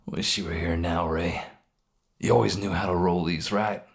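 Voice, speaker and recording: A man voicing a wounded, guilt-ridden robber in a dramatic story, bitter and grieving, with hoarse, folksy, sweary speech. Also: low-pitched, steady tone, moderate pace. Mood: wistful. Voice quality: hoarse, breathy at times. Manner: rough folksy talk, swearing, sighing, talking to a dead partner. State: pained, worn out.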